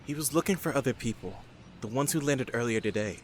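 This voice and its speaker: A man's voice: mid-range voice, fast, a little hiss or hum, close to an everyday voice. An actor playing an exasperated, earnest young man in an audio drama.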